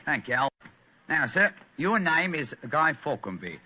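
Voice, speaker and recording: A working-class London plumber with a slightly high voice and a Cockney accent. He rambles along in a cheeky, deferential way, as in old radio drama. The recording is dull and lo-fi. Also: big pitch swings, measured pace, slight background noise. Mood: chatty, fawning. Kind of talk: old-time radio mystery acting.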